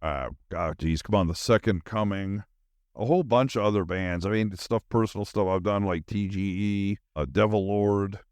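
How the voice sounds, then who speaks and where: A man's voice: lowish voice, moderate pace, a little mellow, dry recording. A middle-aged man hosting a solo podcast, tired and zoned out, rambling casually in slangy, meandering talk with trailing thoughts.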